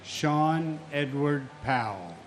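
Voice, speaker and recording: A man's voice: a little high, expressive, a little hiss or hum. A man reads names at a memorial ceremony and speaks solemnly and firmly as a victim's brother. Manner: solemn and measured, then firm and insistent. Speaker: victim's family member reading names. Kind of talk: memorial ceremony name reading and speech.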